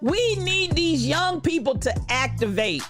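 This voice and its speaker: Animated high-voiced pastor woman in her fifties. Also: a little slow, over music. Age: 50s. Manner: animated, preacherly, calls out to viewers. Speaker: pastor and livestream host. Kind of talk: lively livestream talk greeting chat.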